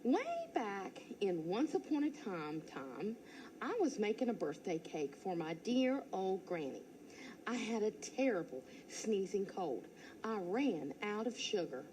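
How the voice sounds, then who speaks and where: A teacher reading a children's storybook aloud in first person, playful and expressive. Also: female voice, medium pitch, unhurried, slight background noise, low-quality recording.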